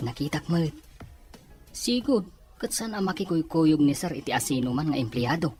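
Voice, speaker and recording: A man's voice: on the high side, sing-song, moderate pace, a little mellow, soft background music. Curious Ilocano office gossip, played by a man. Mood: gossipy. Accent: Ilocano Filipino. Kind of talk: Ilocano radio-style drama acting.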